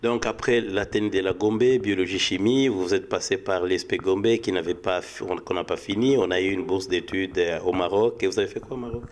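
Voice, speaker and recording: A man's voice: deep voice, brisk, few pauses. A man works as an interviewer. He puts his questions in a conversational style.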